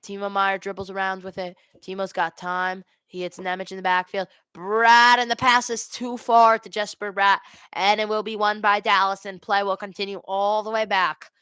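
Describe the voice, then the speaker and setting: Clear-voiced woman calling hockey live. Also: mid-range voice, level tone, moderate pace, a bit bright, dry recording. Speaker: hockey play-by-play commentator hosting a watch party. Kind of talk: live amateur sports commentary with casual chat. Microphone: headset.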